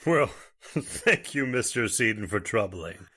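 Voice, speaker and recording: A man's voice: on the low side, lively intonation, slow pace, sharp tone, husky, full character voice. A man playing a blustery judge in old-time radio horror, hearty and theatrical in manner. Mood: genial. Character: genial, unworried judge turning blustery and alarmed.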